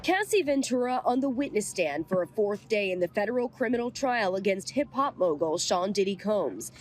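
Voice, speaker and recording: A woman's voice: mid-range voice, animated, normal speed. A woman delivering a scripted broadcast news report as a correspondent.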